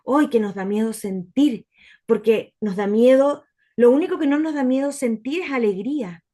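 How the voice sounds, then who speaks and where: A woman with a fairly high voice, talking as a guest in a relaxed conversation. She reflects aloud and pauses to find her words. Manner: reflective, searches for words mid-sentence. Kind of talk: casual conversation.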